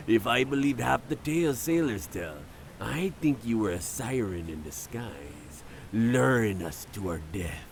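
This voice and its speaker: Gruff old ship's captain, low creaky voice, swooping delivery, salty sailor talk, fantasy audio drama. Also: male voice, lively intonation, slow, slight background noise. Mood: wry, probing. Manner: commanding, salty old-time sailor speech. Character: gruff, superstitious older sea captain, grudgingly kind. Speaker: ship captain.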